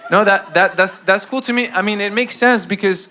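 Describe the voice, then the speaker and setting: A man from South America hosting a casual interview, excitable and quick to interject. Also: sits high, noisy background. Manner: excitable, interjecting, laughs often. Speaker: host.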